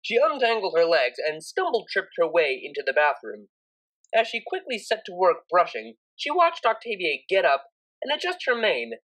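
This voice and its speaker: A woman's voice: lowish voice, huge pitch swings, normal speed, a bit bright, low-quality recording. A woman narrating a scripted story expressively, as an audiobook reader.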